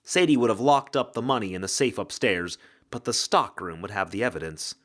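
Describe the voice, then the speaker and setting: A man's voice: fairly low pitch, animated, brisk, fairly bright tone. A man reading mystery fiction as a dramatic scripted narration. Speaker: audiobook reader, actor.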